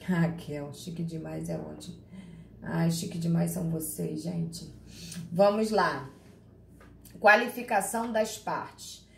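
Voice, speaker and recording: A woman's voice: lowish voice, takes it slowly, creaky. Woman, Carioca accent, law lecturer, chatty and teasing, echoey room, faint background noise. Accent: Carioca Portuguese. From Rio de Janeiro, Brazil. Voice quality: chatty and expressive, teasing students by name. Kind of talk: live online class, reading chat questions.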